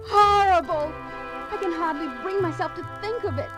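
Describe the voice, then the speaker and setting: Distressed young heroine, extremely high voice, melodramatic, music behind, vintage horror record. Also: female voice, normal speed. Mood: shaken. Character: Erica, frightened, distressed young sweetheart. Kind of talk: 1970s horror audio drama acting.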